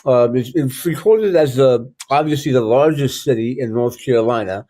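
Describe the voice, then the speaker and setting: A man's voice: mid-range voice, moderate pace, warm tone. Chatty male podcast host. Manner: chatty, loose, thinks aloud with many ums. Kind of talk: casual podcast interview.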